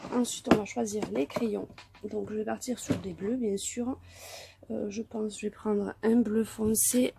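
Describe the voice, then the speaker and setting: A woman with a cold talks through a craft tutorial at her work table, her voice hoarse and gravelly with frequent fry. She speaks softly, often slipping into a near whisper, pausing and restarting as she works. A faint hiss sits under the recording. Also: mid-range voice. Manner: soft-spoken, often near a whisper, hesitant. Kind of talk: calm step-by-step craft tutorial.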